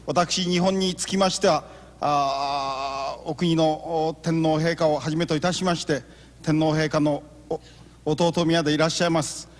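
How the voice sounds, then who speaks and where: A man's voice: fairly high pitch, steady tone, fast. A man interpreting a speech in polite, honorific phrasing. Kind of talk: formal interpretation. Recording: reverberant banquet hall.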